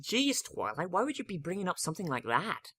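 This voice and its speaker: A man plays a cheeky baby dragon, a boy sidekick from a cartoon fantasy story. The voice is pitched up high and sounds eager, exclaiming and pleading like an excitable youngster. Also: fairly quick, husky, no echo, studio quality, full character voice. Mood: exasperated.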